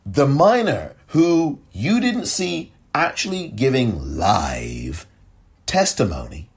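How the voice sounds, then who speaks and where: A middle-aged man hosting a solo commentary podcast, animated and sarcastic, his voice cracking from excitement and leaping high when he gets indignant. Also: takes it slowly, breathy edge. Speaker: podcast host.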